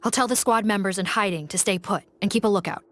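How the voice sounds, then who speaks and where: A woman plays a composed female officer with an ordinary mid-range voice. She talks in a steady, practical way and directs her team without fuss. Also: mid-range voice, fast talker, lightly acted. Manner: steady, practical, giving orders.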